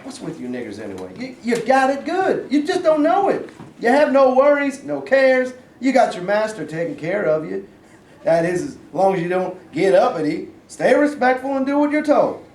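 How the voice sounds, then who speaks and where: A man's voice: very high voice, very animated, moderate pace, darkish tone, exaggerated voice. A man playing a poor, grudging, bigoted sharecropper in a staged play reading, with folksy, repetitive talk. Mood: indignant, scornful. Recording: echoey room, distant mic, background noise.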